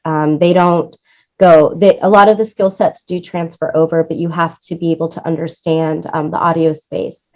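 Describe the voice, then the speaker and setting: Low-voiced woman explaining, dull audio. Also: little pitch movement, normal speed, a little mellow. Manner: explanatory, checks in with "right?" and "you know". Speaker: interview guest, music supervisor and producer. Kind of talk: conversational interview answers.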